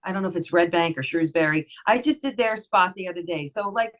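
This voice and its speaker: A woman voice actress with a lowish voice chats on a podcast. She sounds warm and smiling, and she talks like she is speaking to a friend. She runs through her work in an easy, conversational flow. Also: fast. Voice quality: lower voice for a woman. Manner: chatty, friendly, giggly, smiling tone. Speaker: voice actress guest. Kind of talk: casual podcast interview.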